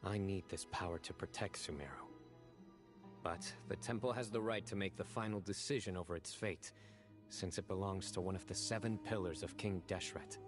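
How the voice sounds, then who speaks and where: An actor playing a stern, composed young desert guardian in a video game, speaking in a measured, deliberate, solemn way with reflective resolve. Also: male voice, low voice, lightly acted. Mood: firm, resolute. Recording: background music under dialogue.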